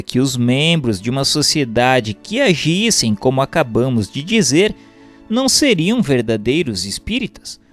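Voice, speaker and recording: Brazilian guy reading formally like an audiobook, really slow, lots of melody in his voice, bit of music behind. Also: a little high. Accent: Brazilian Portuguese. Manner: formal, measured, expressive phrasing. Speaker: reader of a Spiritist text. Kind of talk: scripted audiobook-style narration. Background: background music.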